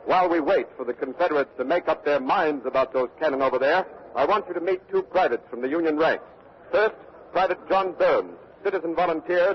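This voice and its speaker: Higher-voiced 1940s radio war correspondent, an actor. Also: male voice, close to an everyday voice. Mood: tense, reporting. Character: earnest male war correspondent reporting live from battlefield. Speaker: radio reporter and interviewer. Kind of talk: 1940s radio news reporting, dramatized. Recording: dull vintage radio broadcast recording.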